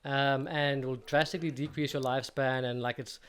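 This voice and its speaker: A man's voice: medium pitch, steady tone, fairly quick, a bit bright, smooth. A man hosting a podcast, chatting in an eager, agreeable, slangy way. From South Africa. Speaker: podcast host. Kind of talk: casual conversation.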